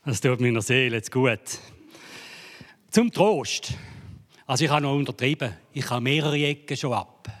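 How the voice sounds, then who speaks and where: A man's voice: medium pitch, normal speed, pauses often. A man preaching a sermon in a church hall, joking and building to dramatic emphasis. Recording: reverberant hall.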